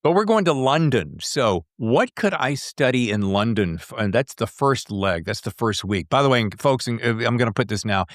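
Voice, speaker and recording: A man's voice: medium pitch, very animated, moderate pace, hardly any pauses, no room sound, studio quality. An American man hosting a podcast interview, chatting casually with asides to his guest and the listeners.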